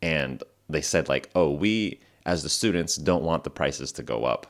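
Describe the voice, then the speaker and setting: A young man with an extremely deep voice, lower than usual because it is morning, talks through a casual podcast episode for English learners. He rambles easily, filling gaps with "uh" and "like" and correcting himself as he goes. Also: unhurried. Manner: relaxed, rambling, many fillers and self-corrections. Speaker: podcast host.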